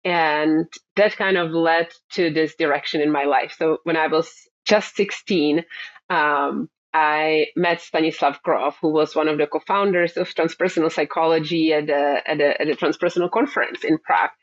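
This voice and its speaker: Woman, halting, filler-heavy, dull lo-fi sound. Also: sits low, steady tone. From Czech Republic. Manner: thoughtful, halting, many fillers and repeated words. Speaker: therapist guest. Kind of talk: interview answers.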